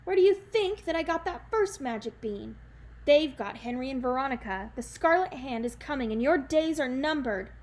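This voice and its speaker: A woman's voice: high-pitched, lively intonation, moderate pace, a little mellow. Woman voicing a frantic ranting young man. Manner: pleading, then unhinged ranting.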